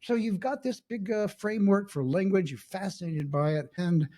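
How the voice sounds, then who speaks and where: Mellow-voiced middle-aged male host. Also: high-pitched, moderate pace. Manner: curious, chatty, thinks aloud in long asides. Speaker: podcast host. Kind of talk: casual interview chat.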